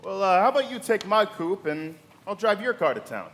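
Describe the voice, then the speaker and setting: An actor playing an arrogant, suspicious, wealthy husband who blusters and sneers in a live radio play. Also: male voice, high voice, sing-song, fairly quick, a bit bright, big-room sound. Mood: impatient, brisk.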